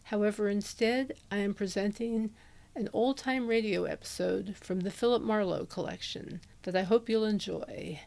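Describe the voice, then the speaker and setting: A woman's voice: mid-range voice, moderate pace, gravelly, darkish tone. A woman introducing a podcast episode as host, speaking into a handheld dynamic microphone. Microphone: handheld dynamic USB microphone.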